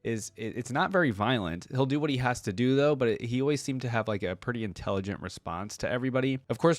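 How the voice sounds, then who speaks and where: Guy doing a casual reaction vid, lowish voice, dry close sound, kinda musing out loud. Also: no room sound. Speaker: reaction video host.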